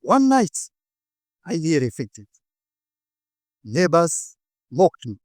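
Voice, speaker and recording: A man with a Malawian accent delivers a prepared inspirational talk in a slightly high voice. The sound is very dry, with almost no room echo. He speaks in short, deliberate phrases and leaves dramatic pauses between them. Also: very animated, a little mellow. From northern Malawi. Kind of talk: rehearsed inspirational public speech with personal storytelling.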